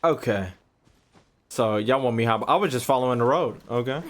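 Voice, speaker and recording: A man's voice: mid-range voice, normal speed. A man streaming a game with casual, blunt, joking commentary full of slang and swearing. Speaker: gaming streamer. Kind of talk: casual profane gameplay commentary.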